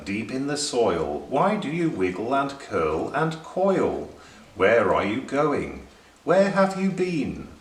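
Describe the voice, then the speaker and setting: A man voicing a dreamy, earnest storybook rabbit who recites verse in a deliberate singsong. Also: mid-range voice. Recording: roomy echo, distant microphone.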